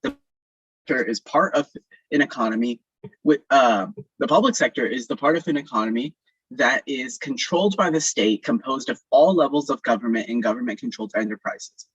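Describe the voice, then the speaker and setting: A teenage boy from Texas delivers a prepared debate speech, reading definitions steadily with a slightly husky voice. The call audio is dull and lo-fi, with a dry, close sound. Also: medium pitch, lively intonation, brisk, a bit bright, no room sound. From Texas, United States. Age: teens. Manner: reads definitions steadily, small slips. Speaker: student debater.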